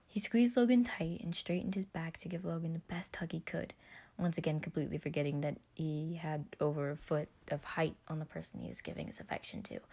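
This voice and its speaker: A woman's voice: low-pitched, creaky, warm tone. A woman reading a story aloud as a scripted narration. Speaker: podfic narrator.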